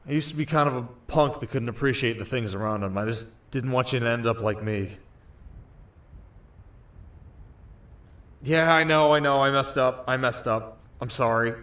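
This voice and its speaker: A man's voice: medium pitch, brisk, hardly any pauses, a bit bright, natural voice. Man playing sheepish, cocky young winter spirit. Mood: sheepish, apologetic. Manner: sheepish, casual, defensive, earnest. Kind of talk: ASMR roleplay voice acting.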